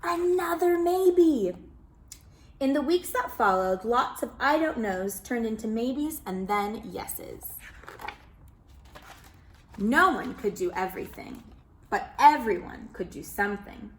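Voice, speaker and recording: A woman reading a children's picture book aloud, expressive and animated, calling listeners friends. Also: on the high side, takes it slowly, long pauses, slight background noise. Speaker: children's storyteller. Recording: roomy echo.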